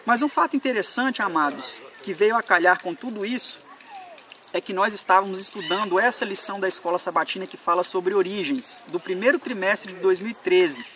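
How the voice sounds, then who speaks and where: A young man recounts his religious journey in an earnest, unhurried way, pausing and restarting often. His voice sits high and sounds bright. He is recorded outdoors, somewhat distant from the mic, with faint rural noise behind him. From Brazil, born in Minas Gerais, raised in Espírito Santo. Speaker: church member giving a testimony. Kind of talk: personal religious testimony to camera.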